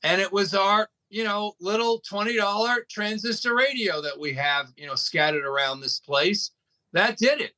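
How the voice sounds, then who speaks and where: A man's voice: sits high, normal speed, bright, forceful tone, poor audio. A man speaking as an interview guest, explaining things in an emphatic, folksy storytelling way. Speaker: interview guest, radio station operator. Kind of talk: conversational explaining.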